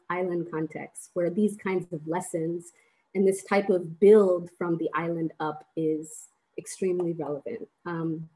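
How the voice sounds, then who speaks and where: A woman in her thirties from Vanuatu, giving an earnest, measured talk as a panelist on a video call from a small room at home. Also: fairly low pitch, warm tone, low-quality recording. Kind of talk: webinar presentation. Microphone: laptop webcam on a video call.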